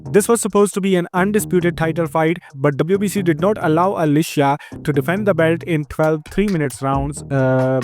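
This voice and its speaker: A man with an Indian English accent hosting a fight news show, briskly listing upcoming bouts in a scripted rundown. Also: fairly high pitch, a little mellow. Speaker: host of a fight news channel.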